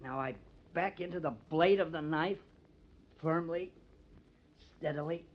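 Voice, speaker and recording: A man's voice: on the high side, measured pace. An actor playing a cunning, bitter artist who frames his rival, with theatrical, taunting intensity, in old-time radio drama style. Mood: tense, daring. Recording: dull, lo-fi old radio recording.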